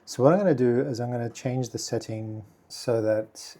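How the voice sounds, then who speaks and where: A man hosting a casual software tutorial, speaking quietly and thinking aloud with many fillers as he works. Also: mid-range voice, normal speed. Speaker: video tutorial host. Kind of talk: casual screen-recording tutorial.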